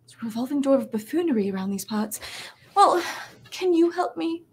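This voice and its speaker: A woman's voice: high-pitched, lively intonation, fairly quick. A young woman playing a haughty, lovelorn cosplayer in a comedy web series, speaking with theatrical, indignant flourish. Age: young adult.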